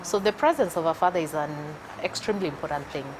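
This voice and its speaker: A middle-aged woman with a Kenyan accent, a guest speaking in a thoughtful, measured way with frequent hesitations in a studio interview. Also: lowish voice, lively intonation, slight background noise, poor audio. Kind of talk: casual interview chat.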